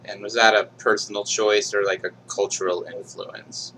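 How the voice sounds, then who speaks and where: A man hosting a casual interview, asking questions in a relaxed, conversational way with frequent fillers. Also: fairly low pitch, moderate pace, rough recording. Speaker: interviewer running typing sessions. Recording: faint hum behind.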